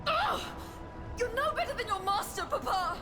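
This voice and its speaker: Shrill, defiant young daughter. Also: female voice, expressive, moderate pace. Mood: outraged. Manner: shrill, accusing. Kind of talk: dramatic video game acting. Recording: loud music behind the voice.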